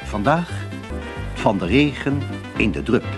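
A man's voice: medium pitch, lively intonation, a little slow. A man announcing a scripted comedy radio play as its introducer. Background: music.

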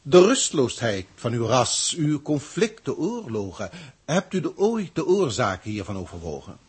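Pompous alien proctor, husky and theatrical. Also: male voice, mid-range voice, big pitch swings. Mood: lofty, instructive. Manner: formal, pompous, deliberate. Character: authoritative, grandiloquent alien proctor from a galactic federation. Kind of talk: 1960s Dutch science-fiction radio drama acting.

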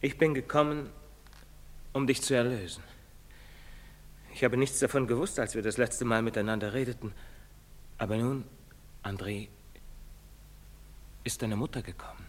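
A man's voice: medium pitch, lightly acted. Solemn pleading priest played by a man, ringing hall. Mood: solemn, hesitant. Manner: solemn, halting, earnest. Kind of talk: theatrical stage-play acting. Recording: long reverberant hall sound.

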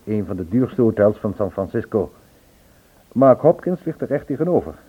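Through a tinny old radio recording comes a charming, impulsive man in a radio play. His voice is on the low side and a little husky. He tells his story in a courteous, conversational, suave way. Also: very animated, normal speed. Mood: reminiscing. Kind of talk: Dutch radio play, narration and dialogue.

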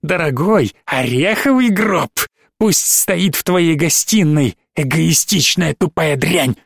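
A man voicing a cruel, mocking possessed little girl in an audiobook, with a shrill, drawn-out taunting voice. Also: expressive, gravelly, no room sound, studio quality, full character voice. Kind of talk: audiobook reading.

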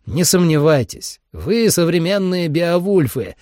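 A man's voice: fairly high pitch, big pitch swings, darkish tone, dry recording, studio quality, pitched above the performer's own voice. A man voicing a veteran monster hunter commander in an audiobook, solemn and emphatic, like an orator. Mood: rousing, fierce. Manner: deliberate, emphatic, rousing oratory.

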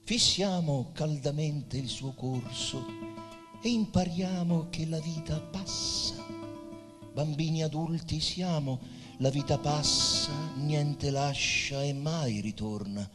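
Urbane dying poet, long hall reverb. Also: male voice, fairly high pitch. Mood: lyrical, reflective. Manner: measured, theatrical, lyrical phrasing. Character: dying male poet, urbane, gracious, gently ironic. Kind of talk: stage drama acting. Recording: hall reverb with guitar music behind. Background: live Portuguese guitar music.

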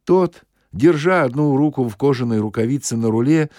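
A man's voice: mid-range voice, moderate pace, a little mellow, dry recording, studio quality. A man reading a humorous short story as a scripted audiobook narration.

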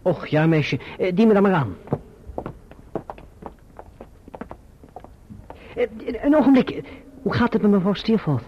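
A man playing a courteous, earnest young gentleman writer in a radio play, measured and husky. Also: high-pitched, very animated, slight background noise. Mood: reserved, courteous. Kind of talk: radio play acting and narration. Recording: dull, muffled radio recording.

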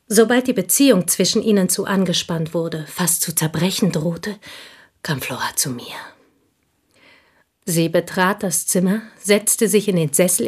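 An actress in a German radio drama playing a possessive, intense village schoolteacher who confides deliberately, often sinking toward a whisper. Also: female voice, fairly low pitch, husky. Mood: confiding, restrained.

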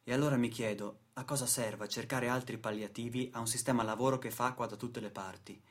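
A man's voice: medium pitch, fairly even intonation, fairly quick. A man delivering a scripted radio theatre monologue in a solemn, rhetorical, declamatory manner. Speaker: stage actor and narrator. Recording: music playing behind the voice. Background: music.

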